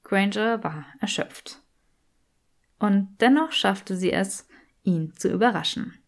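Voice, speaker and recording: A woman narrates a novel-style story for an audiobook. Her voice sits at an average pitch as she reads scripted prose. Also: takes it slowly, vocal fry.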